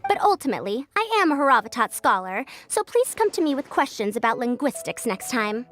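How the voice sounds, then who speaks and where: A woman's voice: on the high side, big pitch swings, a bit bright, faint music behind. A woman voicing a self-assured, precocious scholar who speaks in a lofty, formal way in a video game. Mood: haughty. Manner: pompous, measured phrasing.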